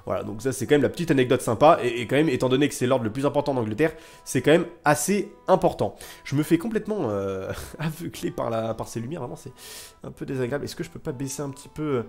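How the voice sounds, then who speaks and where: A man's voice: medium pitch, darkish tone. A man livestreaming history stories to his viewers in a casual, theatrical way, repeating phrases for suspense and emphasis. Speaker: live streamer telling history stories to chat. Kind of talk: casual animated storytelling to viewers.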